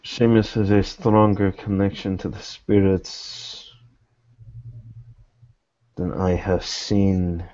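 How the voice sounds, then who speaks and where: A man improvising in-character dialogue in a tabletop role-playing session, with measured, formal phrasing. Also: deep voice, fairly even intonation, mellow, dull audio. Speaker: role-playing gamer voicing a private investigator.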